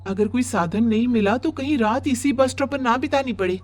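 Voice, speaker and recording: A woman's voice: a little high, brisk, natural voice. A woman voicing a timid, anxious, fretful young working woman in a horror cartoon, with music underneath. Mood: worried. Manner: worried, pleading.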